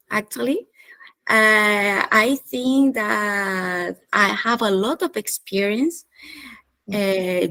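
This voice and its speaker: A woman from Chile, a chief strategist, answering interview questions in a thoughtful way, with pauses and hesitations. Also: medium pitch, fairly bright tone, low-quality recording. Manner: slow, thoughtful, with hesitations and light laughs. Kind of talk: interview conversation.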